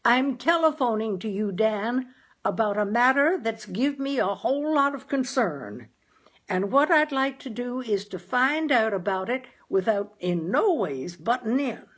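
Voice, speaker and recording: A woman voicing a gloomy, plainspoken working-class father in a storybook, with folksy grammar and a deliberate, emphatic delivery. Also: fairly high pitch, lively intonation, voice pushed up. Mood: stiff, loud. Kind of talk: dramatized audiobook character.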